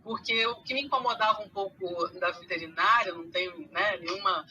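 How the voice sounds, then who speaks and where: Bright-voiced Brazilian woman, mixed accent. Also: medium pitch, a little fast, husky, lo-fi sound. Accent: mixed Brazilian Portuguese, carioca with Minas traces. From Rio de Janeiro, Brazil. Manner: hesitant, many fillers and restarts. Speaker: guest, animal science teacher. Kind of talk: casual podcast conversation.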